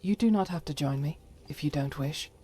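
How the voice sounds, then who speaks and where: A woman's voice: low voice, moderate pace, warm tone, lightly acted. A woman voicing a devout, stern holy warrior who carries private doubt, speaking solemnly and formally, as in a fantasy game. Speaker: voiced follower character in a fantasy game mod. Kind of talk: scripted dramatic game dialogue.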